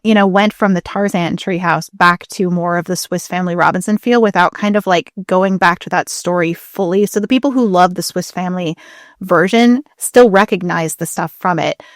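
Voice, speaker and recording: A woman's voice: lowish voice, normal speed, dry recording, clean studio sound. A woman co-hosting a podcast as a historian, explaining things in a casual, conversational manner. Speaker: podcast co-host.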